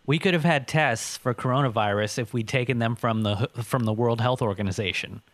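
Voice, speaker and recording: Mid-pitched man explaining casually, dry sound. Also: a little fast, no room sound. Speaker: podcast host.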